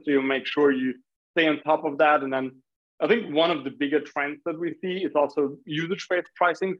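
A man's voice: medium pitch, lo-fi sound. A man from Austria with an Austrian accent, talking casually as a founder being interviewed on a podcast. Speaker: startup founder, podcast guest.

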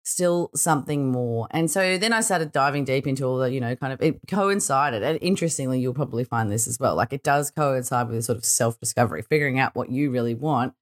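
Podcast host: Australian woman, middle-aged, low register with slight croak, informal reflective monologue. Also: brisk, hardly any pauses. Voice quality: slightly croaky early-morning voice.

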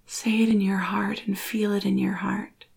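A woman guides a spiritual meditation in a breathy near-whisper. Her voice is husky with a frequent soft creak, and her intonation stays very even. She speaks soothingly, leaving long pauses between short phrases. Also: medium pitch.

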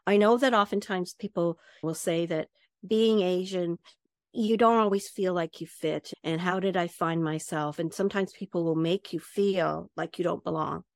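A middle-aged woman, an HR professional and advisor, talking in an interview and acting out quotes with lively jumps in pitch. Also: normal speed, dry recording, studio quality. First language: English. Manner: animated storyteller, acts out quotes with big pitch jumps. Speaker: guest, HR professional and certified advisor. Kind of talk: conversational interview.

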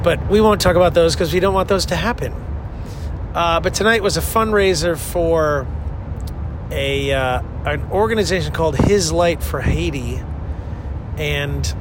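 A man with a slightly high voice records a casual vlog from inside a moving car. He talks informally and rambles, halting and picking up again as he recaps. Road and engine noise runs clearly behind him. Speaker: touring worship musician, show host. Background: vehicle and road noise.

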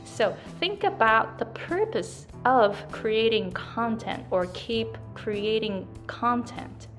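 A woman's voice: on the high side, animated, slow pace, a bit bright, soft background music. A woman with a Japanese accent, a native Japanese speaker and English coach, giving chatty business advice to camera and often checking in with "right?". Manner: chatty, repeats "right?" and "like", self-corrections.

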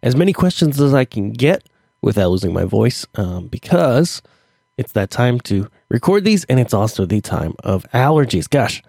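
Gravelly, congested man hosting a podcast. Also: mid-range voice, big pitch swings, moderate pace, warm tone, dry recording, clean studio sound. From Middle Tennessee. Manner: chatty, rambling, many fillers. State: stuffy nose, hoarse from allergies. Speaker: podcast host. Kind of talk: solo podcast talk.

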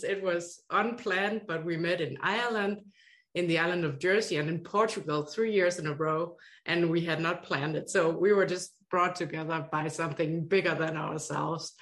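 A woman's voice: on the low side, fairly bright tone. A middle-aged woman from Denmark with a Danish accent, explaining her work earnestly as a podcast guest. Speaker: guest, education consultant. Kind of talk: podcast interview.

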